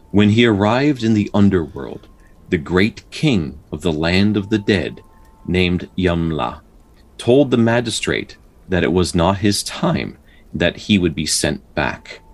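A man with a deep, rough-edged voice hosts and narrates folktales. His intonation rises and falls widely, whether he is chatting casually or telling a story. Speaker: podcast host, storyteller.

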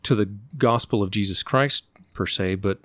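A middle-aged man from the United States, telling stories in an unhurried, thoughtful way and pausing to find his words. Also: fairly low pitch, fairly bright tone, no echo. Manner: unhurried, thoughtful, often pauses to search for words. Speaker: interview guest. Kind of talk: relaxed conversational storytelling.

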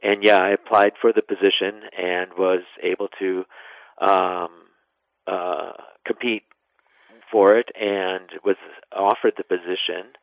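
A middle-aged man, giving measured, explanatory answers as an interview guest. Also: low-pitched, flat delivery, no echo. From west central Wisconsin, United States. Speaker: interview guest, national park superintendent. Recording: narrow phone-line sound.

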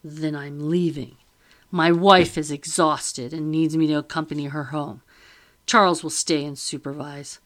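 A woman's voice: sits low, fairly even intonation, unhurried. A woman reading an audiobook and voicing a weary, defiant merchant husband who speaks firmly and formally. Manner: firm and formal.

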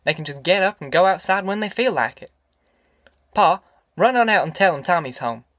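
A man's voice: high-pitched, animated, moderate pace, fairly bright tone, no echo, studio quality, pitched above the performer's own voice. A man voicing an anxious, tender middle-aged farm mother in an audiobook, with a rural Southern American accent and a hesitant, earnest way of talking. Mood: confiding. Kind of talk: audiobook character acting.

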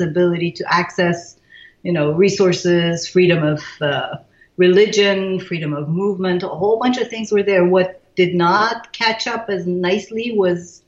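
A woman's voice: on the low side, dull audio. Iranian-born woman in her sixties, roomy recording. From Iran. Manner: thoughtful, frequent fillers and restarts. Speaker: guest, leadership expert. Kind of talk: casual interview.

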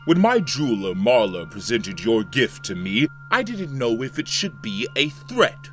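An actor playing a pompous, easily flattered ruler in an audio drama, with a theatrical, gushing manner. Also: male voice, medium pitch, a little slow, soft background music. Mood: delighted, awed. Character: grandiose, easily flattered male ruler and employer.

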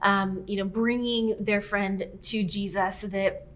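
Animated woman retelling a story. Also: mid-range voice, slow. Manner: animated storytelling, acts out quoted lines, many "like" fillers. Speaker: guest author. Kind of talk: casual podcast chat.

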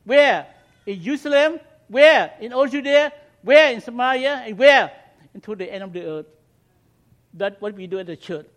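A middle-aged man with a Cambodian accent, a native Khmer speaker, giving an earnest mission presentation at a church in halting English, with rhetorical questions to the congregation. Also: very high-pitched, lively intonation, fairly bright tone.

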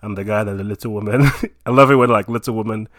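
A man's voice: lowish voice, fast talker. A young man from Nigeria in his twenties, chatting casually about himself with lots of fillers. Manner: relaxed, rambling with many fillers.

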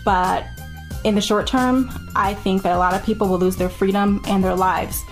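A woman reading a personal reflection in a calm, deliberate way. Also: medium pitch, soft background music. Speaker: contributor.

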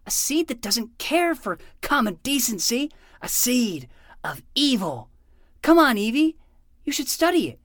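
A man's voice: very high voice, sing-song, a little slow, fairly bright tone, no room sound, studio quality, full character voice. A man voicing an earnest, bossy twelve-year-old boy who talks like a dramatic hard-boiled detective in a fiction podcast. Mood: insistent. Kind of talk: playful fiction podcast acting.

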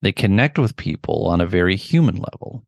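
A middle-aged man leading a guided meditation in a gentle, deliberate manner with long pauses. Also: low-pitched, animated, creaky, no echo, studio quality.

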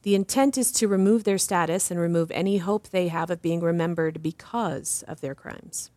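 A woman acting as an assured advocate interviewed in an audio drama, explaining things in a measured, didactic way. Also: lowish voice, dark tone, dry recording, close to an everyday voice. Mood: composed, instructive. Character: composed, assured female advocate explaining justice reform. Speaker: interview guest.

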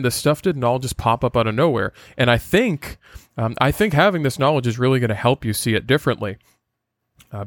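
Earnest podcast host, dry close sound. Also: male voice, mid-range voice, no echo. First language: Portuguese. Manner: earnest, lecturing with frequent filler sounds.

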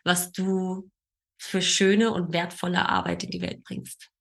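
Female podcast host, thirties, lower-pitched but bright, casual conversational delivery. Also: very animated, unhurried. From Berlin, Germany. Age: 30s.

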